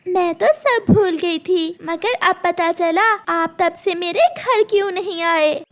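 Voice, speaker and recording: Very high-voiced gentle mother bird. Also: takes it slowly. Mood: sad, resigned. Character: gentle, hurt mother bird, devoted sister. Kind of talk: Hindi children's cartoon dubbing.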